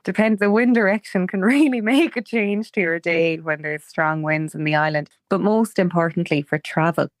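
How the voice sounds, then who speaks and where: A woman's voice: lowish voice, moderate pace, few pauses, dry recording. A young adult Irish woman, a wildflower guide, chatting enthusiastically in an interview with lots of "like". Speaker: guest, wildflower walk guide. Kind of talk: conversational interview. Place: island home.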